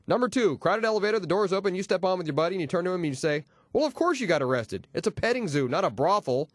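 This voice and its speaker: Male comedy radio host, notably high voice, punchy wisecracking patter, dry close-miked studio sound. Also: animated, fast talker, no echo. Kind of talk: comedy radio air-check patter with jokes. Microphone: close radio studio microphone.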